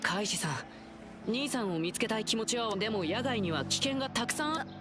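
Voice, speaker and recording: Eager, earnest teenage boy for an anime game, played by a woman, lively intonation. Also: fairly high pitch, normal speed, over music, close to an everyday voice. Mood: concerned. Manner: eager, boyish, casual speech. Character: earnest, upbeat, kind teenage boy lion dancer.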